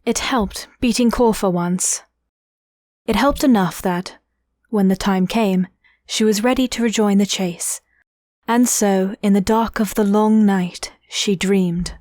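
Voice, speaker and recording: A woman's voice: medium pitch, slow, a little mellow, no room sound, lightly acted. Woman as a dry-recorded fantasy drama narrator. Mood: measured. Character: measured storyteller of a fantasy audio drama. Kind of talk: scripted story narration.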